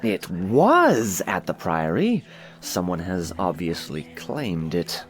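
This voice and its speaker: A man's voice: deep voice, huge pitch swings, slow, soft background music, pitched below the performer's own voice, full character voice. A man voicing a haughty, theatrical aristocratic sorcerer in a fantasy audiobook, with a pompous, dry drawl. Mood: brooding, irritated.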